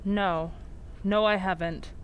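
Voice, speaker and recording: A woman's voice: medium pitch, fairly even intonation, slow, lo-fi sound, close to an everyday voice. Woman doing small town sheriff, serious and plain, radio drama acting, bit of hiss. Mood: grave, earnest. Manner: grave, matter-of-fact explaining. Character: earnest, plain-spoken village sheriff. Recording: faint background noise.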